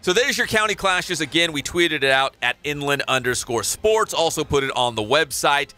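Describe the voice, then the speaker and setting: A man's voice: on the high side, normal speed, faint music behind. A man hosting a sports radio show in a studio, talking casually and reading station sign-offs. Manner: lively, promo-style sign-offs. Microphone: studio boom mic.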